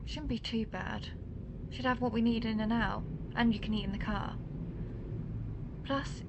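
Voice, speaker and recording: A woman playing a world-weary, principled old vampire who hunts her own kind, speaking in formal, measured phrases in an intimate roleplay audio drama. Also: on the high side. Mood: wry, wistful. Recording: clearly noisy background ambience.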